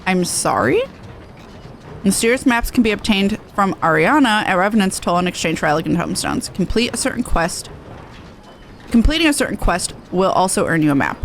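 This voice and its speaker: A woman's voice: mid-range voice, lively intonation, a little fast, a little hiss or hum. A woman game streamer chatting casually and reading quest text aloud.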